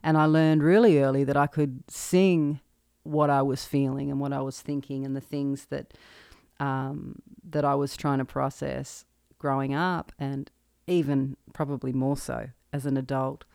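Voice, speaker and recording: A woman's voice: very deep voice, little pitch movement, unhurried, creaky, smooth, no room sound, clean studio sound. A woman in her thirties with an Australian accent, hosting her own podcast and talking candidly and reflectively about her life. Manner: candid, reflective, with soft laughs. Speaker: podcast host and singer-songwriter. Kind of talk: personal monologue.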